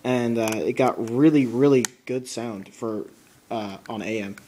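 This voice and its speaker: A man's voice: medium pitch, measured pace, mellow. A man casually demonstrating a gadget as a hobbyist, recorded indoors on a handheld device. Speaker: hobbyist reviewing a radio. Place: indoors at a wooden table. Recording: noisy amateur room recording.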